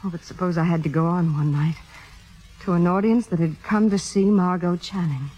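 An actress playing a gushing, humble young would-be actress in a 1950s radio drama, hesitant and earnest. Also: female voice, fairly low pitch, normal speed, dark tone, faint music behind, lightly acted, poor audio. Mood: shyly eager. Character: demure, gushing young woman, an aspiring actress.

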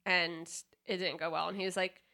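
Woman co-host, gravelly and bright, lively pitch, teasing and giggly. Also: moderate pace. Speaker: podcast co-host. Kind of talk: casual chat.

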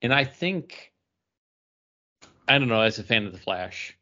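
A man's voice: medium pitch, big pitch swings, unhurried, pushed and bright. A man co-hosting a podcast, chatting casually about comic books. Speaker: podcast host. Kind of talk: casual comic book review chat. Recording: dull, lo-fi sound.

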